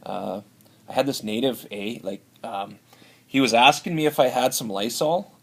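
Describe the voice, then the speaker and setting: A man's voice: medium pitch, gravelly, fairly bright tone. A man playing a boozy, brawling Canadian hoser with a Canadian accent, rambling and stumbling, ending lines with "eh". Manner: rambling, halting, tacks on "eh". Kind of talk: improvised comedy sketch.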